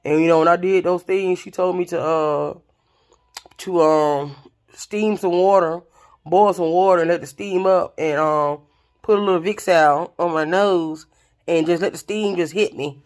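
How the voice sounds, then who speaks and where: A woman's voice: lowish voice, a little slow. A woman, casually telling a story to her viewers. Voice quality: raspy voice. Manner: chatty, expressive, addresses viewers directly. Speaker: vlogger.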